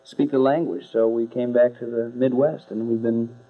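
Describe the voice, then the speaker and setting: A man in a rock band answering interview questions with deadpan jokes, halting and full of uhs. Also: medium pitch. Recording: tinny phone-like lo-fi audio with hum.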